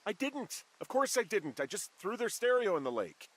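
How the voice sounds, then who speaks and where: An actor playing a laid-back middle-aged male fire lookout in a video game, American, casual and deadpan with a slightly defensive edge. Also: high-pitched, moderate pace, heavily acted, rough recording. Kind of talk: naturalistic video game dialogue.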